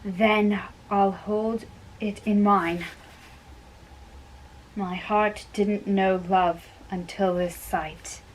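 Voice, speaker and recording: A woman playing a lovestruck, earnest young man in an amateur dramatic reading of Shakespeare, delivered in a halting, deliberate way. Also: medium pitch, steady tone, slight background noise, low-quality recording. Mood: dreamy, smitten.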